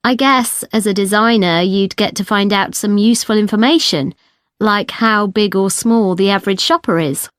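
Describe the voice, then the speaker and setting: A woman's voice: medium pitch, normal speed, no echo, clean studio sound, natural voice. A woman playing a design student in a scripted, reflective, conversational discussion with a classmate. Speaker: actor in an exam listening recording.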